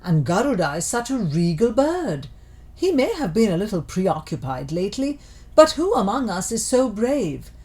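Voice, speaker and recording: A woman's voice: medium pitch, very animated, moderate pace, a little mellow, natural voice. A woman voicing an outspoken, critical male crow who argues persuasively in a storybook tale.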